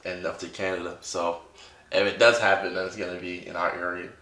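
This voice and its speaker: A man's voice: low-pitched, level tone, fairly quick, sharp tone, echoey room. A young man asking his mother questions in a casual family chat, circling around his points with lots of "like". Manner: rambling, filler-heavy questions.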